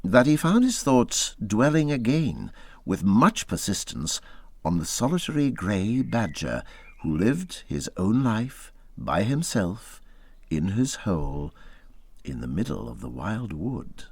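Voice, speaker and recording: Very animated, low-voiced male storybook narrator. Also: slow pace, husky, dry recording. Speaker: audiobook narrator.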